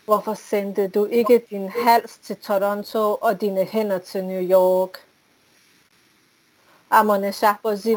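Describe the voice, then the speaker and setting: A woman reading a poem aloud in a measured, solemn way. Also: medium pitch, darkish tone, low-quality recording. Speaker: poetry reader. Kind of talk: poetry reading.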